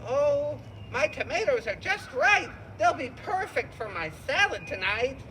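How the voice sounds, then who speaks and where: A moody girl skunk, acted by a woman in a puppet show for kids. The voice is high and drawn out, with very expressive swings, over faint noise. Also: unhurried, full character voice, low-quality recording. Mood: contented. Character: moody female skunk, glum and easily exasperated neighbor. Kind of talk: children's puppet show storytelling.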